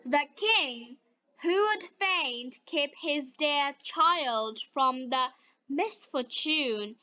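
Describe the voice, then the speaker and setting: A woman reading a fairy tale for English learners as scripted narration, in deliberate short phrases. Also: sits high, pushed and bright, no room sound, muffled recording. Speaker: storyteller.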